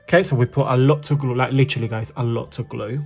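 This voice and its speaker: A man with a croaky voice hosting a casual craft tutorial, chatting and apologizing as he works with his hands at a desk. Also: mid-range voice, fairly even intonation, quick pace, faint music behind.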